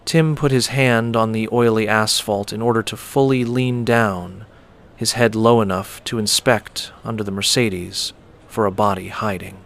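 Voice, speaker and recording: A man reading a dramatic scripted story as a storyteller, with deliberate, theatrical phrasing. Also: medium pitch, a bit bright. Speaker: storyteller and host.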